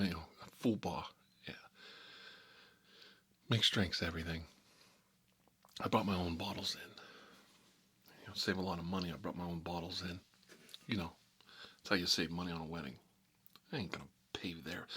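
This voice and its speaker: A low, husky-voiced mob boss, acted out by a man in an ASMR comedy roleplay. He is hot-headed and talkative, grumbling in streetwise slang and circling back over the same phrases. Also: a bit bright, heavily acted.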